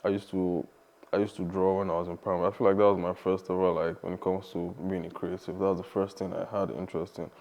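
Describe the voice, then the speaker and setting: A young man, talking casually as a podcast guest in a relaxed, rambling way. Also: low voice, quick pace, creaky. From Nigeria. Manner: relaxed, rambling, many fillers and restarts.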